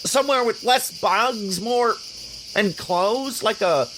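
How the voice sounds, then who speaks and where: A woman's voice: medium pitch, slow pace, lightly acted. A woman playing a squeamish, fussy, anxious space traveler in an audio drama, whiny and hesitant. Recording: insect-filled jungle noise behind.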